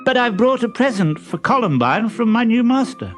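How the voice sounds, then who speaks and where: A man's voice: very high voice, big pitch swings, moderate pace, a bit bright, soft background music, voice pushed up, heavily acted. A man voicing a kind-hearted, humble young servant lad in love, with an earnest, eager manner, in a storybook.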